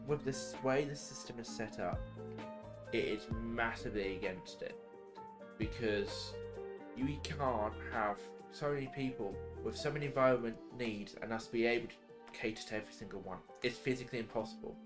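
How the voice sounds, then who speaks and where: A man with a mid-range voice talks casually over clearly audible music. He explains things in a halting way, thinking aloud as he builds each point. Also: medium pitch. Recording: music playing behind the voice.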